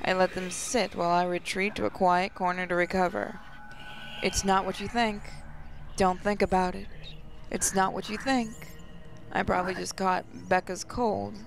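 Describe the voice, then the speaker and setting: A woman gaming host reading a visual novel aloud as expressive first-person story narration. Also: mid-range voice, normal speed, creaky, a little hiss or hum. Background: music.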